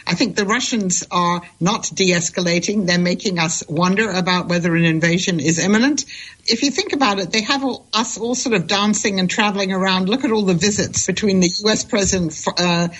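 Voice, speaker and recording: A woman's voice: medium pitch, a little fast, fairly bright tone, husky, poor audio. An older woman, a professor, giving expert analysis in an interview.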